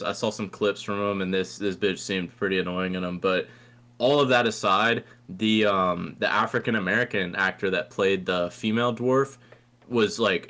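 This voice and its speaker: A man's voice: lowish voice, fairly bright tone. A man co-hosting a podcast in casual chat, rambling and hesitant with frequent restarts. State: coughing, throat irritated. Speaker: podcast co-host.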